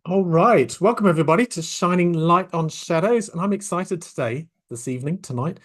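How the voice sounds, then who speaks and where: A man hosting a casual podcast interview. His voice sits a little high for a man, and he talks in a relaxed way, sometimes restarting his sentences. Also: fairly quick. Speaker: podcast host.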